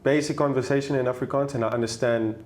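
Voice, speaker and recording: A man with a South African accent, a podcast guest in relaxed, slangy casual chat who often trails off softly at the ends of thoughts. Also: medium pitch, fast.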